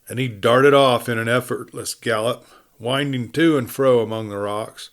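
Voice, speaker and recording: A male narrator with an American accent and a mid-pitched voice reads a western tale. His delivery is dramatic storytelling, in the manner of an audiobook.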